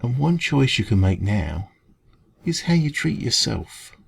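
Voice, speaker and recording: A man with an English accent playing a kind café dragon in an ASMR roleplay, talking gently and reassuringly in a creaky voice. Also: medium pitch, very animated, a little slow, full character voice. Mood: earnest, reassuring. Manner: gentle, reassuring, hesitant conversational encouragement. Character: kind, caring male dragon who runs a café. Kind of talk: ASMR roleplay, comforting one-to-one talk.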